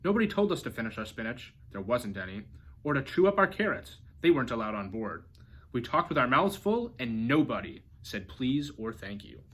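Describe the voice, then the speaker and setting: A man reading a children's storybook aloud as an expressive narrator. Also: mid-range voice, normal speed, slightly rough. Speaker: storybook reader.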